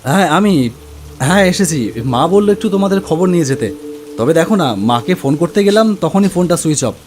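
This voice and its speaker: A man voicing an anxious, bewildered young nephew in a cartoon horror story, questioning in a halting, startled way. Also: a little high, animated, a little mellow, slight background noise, lightly acted. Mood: puzzled, earnest. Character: anxious, bewildered young nephew visiting his uncle's house.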